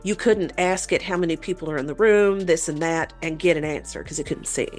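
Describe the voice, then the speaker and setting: Woman, slightly low pitch, podcast host, conversational storytelling, faint background music. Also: fairly quick.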